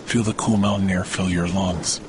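A man narrating a guided sleep meditation in a slow, soothing, measured way with long pauses. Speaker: meditation podcast host. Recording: rain and thunder noise throughout. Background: steady rain and rumbling thunder.

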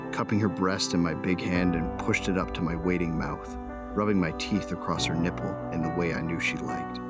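A man's voice: deep voice, close to an everyday voice. A man playing a passionate, lovestruck space bounty hunter, narrating intimately and earnestly in an audio drama. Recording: loud music behind the voice. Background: music.

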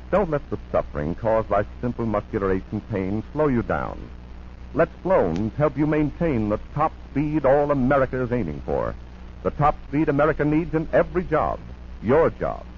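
A man announcing in a 1940s radio advertising style, with an emphatic, polished sales delivery. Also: mid-range voice. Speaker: commercial announcer. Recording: muffled noisy 1940s radio recording.

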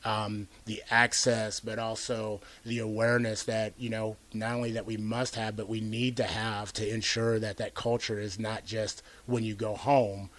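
A man's voice: fairly low pitch, level tone, moderate pace, few pauses, a bit bright. A middle-aged man hosting an interview, speaking earnestly and reflectively with many "you know"s. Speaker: host. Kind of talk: radio interview conversation.

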